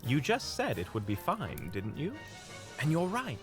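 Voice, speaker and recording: Man playing a genial, lively, whimsical young hunter. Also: fairly high pitch, a little slow, music in the background. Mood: teasing. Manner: courteous, playful, musing. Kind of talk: fantasy audio roleplay acting.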